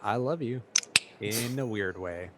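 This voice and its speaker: A hoarse man narrating as game master of a tabletop game, halting with many fillers and restarts. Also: fairly low pitch, background noise.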